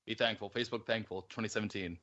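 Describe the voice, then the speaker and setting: A man's voice: on the low side, fairly even intonation, quick pace, dull audio. A man in casual group podcast banter, teasing and often interjecting over a voice call.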